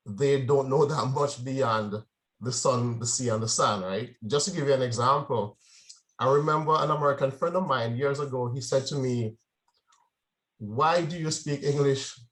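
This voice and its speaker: A man's voice: medium pitch, a bit bright, rough recording. A man from Jamaica giving a conversational presentation to a club, often checking in with the audience. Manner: conversational, frequent "right?" check-ins. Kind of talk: informal presentation.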